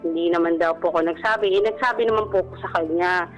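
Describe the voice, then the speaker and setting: Low-voiced woman calling a radio show. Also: quick pace, poor audio. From Philippines. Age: 30s. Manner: respectful, frequent polite particles. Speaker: caller on a radio advice show. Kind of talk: personal storytelling, conversational. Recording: radio broadcast with music bed. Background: music.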